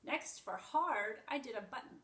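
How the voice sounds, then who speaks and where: A woman's voice: a little high, expressive, moderate pace, fairly bright tone. A woman preschool teacher explaining simply and reading a story aloud for young children. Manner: simple, explanatory, demonstrative phrasing for small children. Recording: echoey room, distant microphone.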